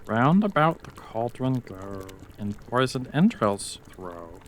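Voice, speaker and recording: A man playing an ancient, sinister witch in a Shakespeare reading, croaking slow rhymed spells with dark relish. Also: mid-range voice, very animated, a little hiss or hum. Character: ancient sinister witch, gleeful over the cauldron.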